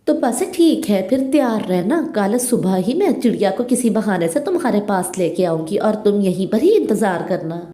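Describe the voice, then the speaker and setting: A woman's voice: medium pitch, expressive, moderate pace, few pauses, dark tone, close to an everyday voice. A woman voicing a crafty, emphatic female crow in a children's cartoon, with roomy echo. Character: jealous, scheming female crow, later repentant. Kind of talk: Hindi children's cartoon dubbing.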